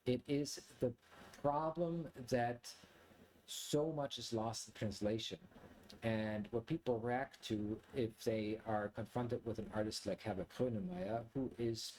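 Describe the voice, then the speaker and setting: A man from Germany, a native German speaker, giving a casual, reflective monologue to viewers in a rambling, halting way as he thinks aloud. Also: medium pitch, fairly even intonation, a little mellow, rough recording. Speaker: video creator, commentator.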